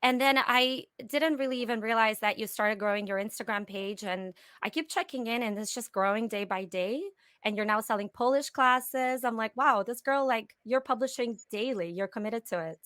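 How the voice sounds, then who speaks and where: A woman's voice: a little high, normal speed, a bit bright, no echo, clean studio sound. A woman hosting a podcast, asking her guest questions in a friendly, upbeat way. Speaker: podcast host. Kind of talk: podcast interview.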